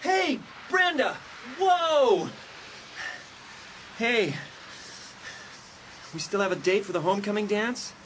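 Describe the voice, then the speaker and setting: Ted, an animated, eager teenage boy. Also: high-pitched, slow, long pauses, slight background noise, exaggerated voice. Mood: eager, apologetic. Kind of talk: dramatised horror audiobook acting.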